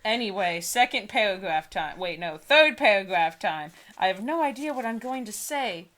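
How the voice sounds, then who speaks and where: A woman's voice: mid-range voice, lively intonation, moderate pace, a bit bright. A young woman in a casual read-aloud, giggly and often breaking off to add mocking comments. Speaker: co-host reading books aloud.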